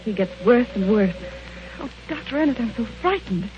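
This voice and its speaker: An actress playing a frightened, fretful, despairing wife with a plaintive manner in 1940s radio style. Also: female voice, fairly high pitch, expressive, moderate pace, a little mellow, lightly acted. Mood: fearful, plaintive. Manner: anxious, plaintive. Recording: muffled, noisy 1940 radio broadcast.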